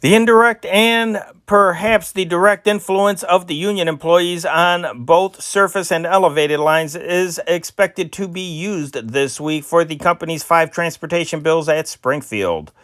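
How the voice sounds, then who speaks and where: A man reading old newspaper stories aloud in a steady amateur style, with small slips and restarts. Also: fairly high pitch, measured pace, few pauses, dry recording, clean studio sound. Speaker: newspaper reader.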